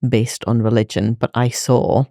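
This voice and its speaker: A middle-aged woman with a Belfast accent, a medical educator speaking reflectively as an interview guest, with many pauses and fillers. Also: a bass voice, little pitch movement, gravelly, dark tone, dry recording, studio quality. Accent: Northern Irish, Belfast. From Northern Ireland. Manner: thoughtful, many fillers and restarts.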